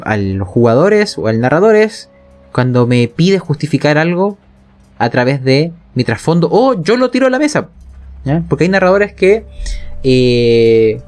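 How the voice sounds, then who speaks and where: Man, Chilean accent, higher-pitched, opinionated casual chat, informal, sweary, background music. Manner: informal, sweary, frequent check-in tags. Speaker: podcast speaker, game narrator. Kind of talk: casual opinionated chat about role-playing games.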